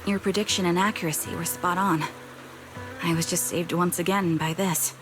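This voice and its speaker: An actress playing a resolute young sniper in a video game, with a calm, measured and formal delivery. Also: female voice, lowish voice, lightly acted. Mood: composed. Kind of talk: dramatic video game voice acting. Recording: music behind the voice.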